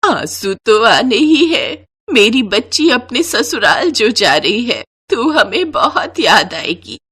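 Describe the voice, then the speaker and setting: A woman voicing an affectionate, tearful elderly grandmother who speaks in a slow, drawn-out, emotional way. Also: fairly high pitch, very animated, pushed and bright, breathy edge, full character voice. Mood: tearful, tender. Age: older.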